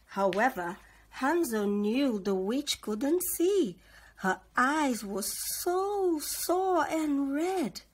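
A middle-aged woman reading a children's story aloud in an expressive, dramatic way. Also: high-pitched. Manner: slow, animated, dramatic. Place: living room, on a sofa.

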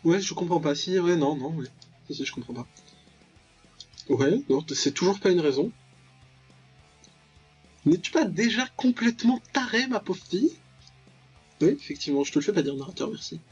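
A man's voice: high-pitched, animated, moderate pace, long pauses, darkish tone, slightly rough, muffled recording, soft background music. A young man giving casual, slangy let's play commentary, with mock-dramatic, exclamatory reactions. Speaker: gaming video host.

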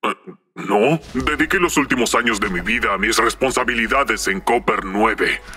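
A jaded cartoon soldier played by a man, with a lowish, hard-edged voice. He speaks bluntly, in a Latin American Spanish accent. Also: normal speed, pushed and bright, husky, faint music behind. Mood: jaded, businesslike. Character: weary, secretive male soldier client in gas mask. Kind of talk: animated fan dub acting.

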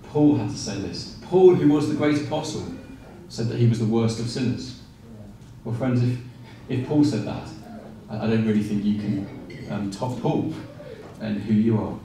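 A man in his forties preaching a sermon with animated gestures and frequent fillers and restarts. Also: lowish voice, normal speed, slight background noise. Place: small room with blinds, indoors. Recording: very echoey room, from a distance.

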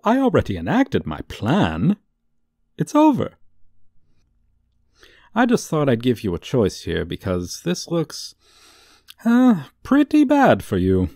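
Casting: male actor as smug, teasing supervillain for comedic audio roleplay, low and mellow, theatrical. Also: no room sound, studio quality, exaggerated voice. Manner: theatrical, teasing, drawn-out delivery. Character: gloating, suave, theatrical male supervillain toying with a young hero.

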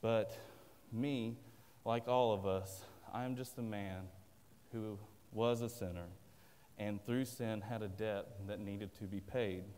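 A man's voice: on the low side, steady tone, slow pace, mellow, smooth. Southern man preaching in a reverberant church. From American South. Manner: earnest, plain-spoken, self-deprecating. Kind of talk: sermon. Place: large church sanctuary indoors. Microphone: at a pulpit, filmed by a far camera. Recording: long church-hall reverb.

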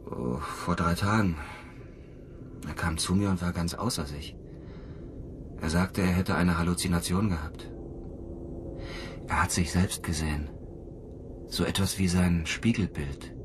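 A deep-voiced, brooding lonely cosmonaut. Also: male voice, fairly even intonation, a little slow, long pauses, noisy background. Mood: subdued. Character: lonely, embittered male cosmonaut aboard a space station. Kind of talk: German radio drama acting.

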